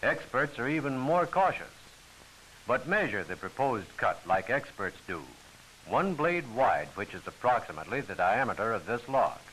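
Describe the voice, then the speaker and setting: A man's voice: mid-range voice, sing-song, normal speed, fairly bright tone, slight background noise, rough recording. A man giving formal, instructional scripted narration for a training film, on an old film soundtrack.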